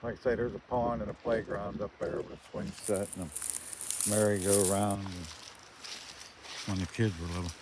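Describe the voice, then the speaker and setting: An older man with a fairly low voice talks casually as he walks around a farm. He is folksy and plainspoken, often thinking aloud. The amateur recording is outdoors, with very loud ambient noise around his voice. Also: little pitch movement, moderate pace, long pauses. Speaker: vlogger showing his farm. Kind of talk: casual rambling walk-around commentary.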